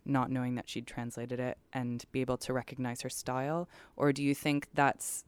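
An interviewer with a smooth, clear voice and a middling pitch. They ask long, reflective questions that wind through their thoughts. The sound is dry and close. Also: a little mellow, no echo.

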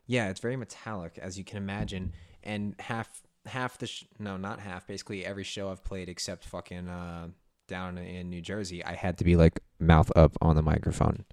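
Lowish-voiced man in crude podcast banter. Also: level tone, moderate pace, a little mellow. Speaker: podcast co-host. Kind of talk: casual chat. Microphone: studio podcast mic.

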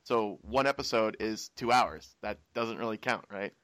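A man's voice: on the low side, moderate pace, fairly bright tone, dry recording. A young American man from Washington State hosting a casual podcast chat, rambling and laughing as he tells stories. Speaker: podcast host.

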